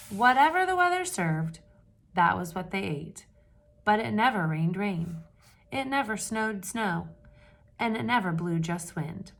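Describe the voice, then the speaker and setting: A woman reading a picture book aloud to children with lively expression, pausing to ask her listeners questions in hushed asides. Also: medium pitch, slight background noise. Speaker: read-aloud storyteller for children.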